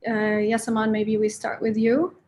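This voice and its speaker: A woman's voice: fairly high pitch, flat delivery, moderate pace, a little mellow. A woman moderating an online panel discussion, polite and using fillers.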